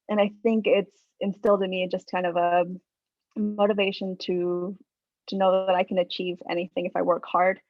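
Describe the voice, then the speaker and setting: A woman from Mexico in her thirties, a statistics professor giving a relaxed academic talk over a video call, with frequent ums and uhs. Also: medium pitch, gravelly, no echo. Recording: dry, phone-like video call audio.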